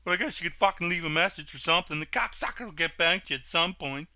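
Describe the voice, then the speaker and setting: Griping, pinched trailer-park oddball. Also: male voice, a little high, animated, quick pace, pushed and bright. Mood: agitated, griping. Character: odd, foul-mouthed trailer-park man who loves cats.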